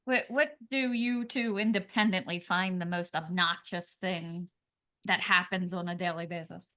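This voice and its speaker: A woman's voice: lowish voice, fairly even intonation, moderate pace, pushed and bright. A woman co-hosting a podcast, asking guests questions and rambling about her cats. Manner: rambling, many "you know"s. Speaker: podcast co-host. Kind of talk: casual interview chat.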